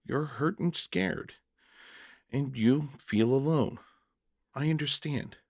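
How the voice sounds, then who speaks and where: A man voicing a kind, serene male prisoner in a story reading, speaking softly, slowly and with tender reassurance. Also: mid-range voice, no echo, studio quality. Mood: soothing, understanding. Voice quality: soft, kind voice. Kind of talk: dramatic short-story reading.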